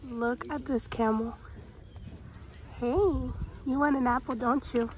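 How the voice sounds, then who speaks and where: A young woman with a high, bright-sounding voice chats breathlessly into her phone as she films herself. She is outdoors at a farm, and the recording carries a lot of background noise. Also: slow pace. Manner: excitable, gushing, talks to animals. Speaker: vlogger. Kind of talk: casual vlog chat to camera. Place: outdoors at a farm petting zoo. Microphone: phone at arm's length.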